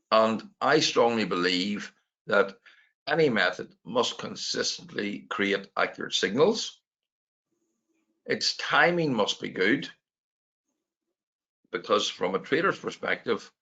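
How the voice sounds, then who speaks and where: A Northern Irish man in his fifties, a trading mentor giving a webinar presentation, a little croaky from a sore throat and explaining step by step. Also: lowish voice, fairly bright tone. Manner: explanatory, repeats words as he restarts. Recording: lo-fi video call audio.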